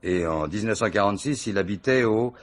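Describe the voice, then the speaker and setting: An actor playing a gruff, impatient police commissioner who is bossy and teasing with his subordinate, in a French radio crime drama. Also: fairly low pitch, lively intonation, a little fast, lightly acted. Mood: irritated, brusque.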